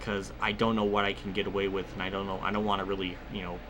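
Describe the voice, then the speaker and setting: Low-pitched man rambling over noisy game commentary. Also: flat delivery, quick pace. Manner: rambling, conversational, swears freely. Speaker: gaming video creator. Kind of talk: casual gameplay commentary with rambling personal storytelling.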